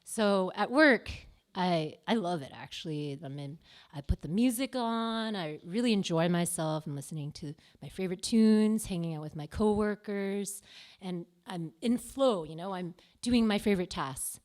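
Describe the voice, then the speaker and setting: A woman's voice: lowish voice, lively intonation, normal speed. A young Canadian-born woman performing confessional stand-up comedy at a live venue, self-deprecating and playful. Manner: self-deprecating, playful, with sudden loud bursts.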